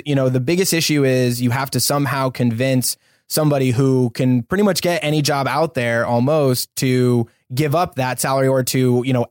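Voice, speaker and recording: A man's voice: medium pitch, dry recording, clean studio sound. Earnest American man being interviewed. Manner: earnest, explanatory. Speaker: startup founder being interviewed. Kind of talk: casual conversational interview.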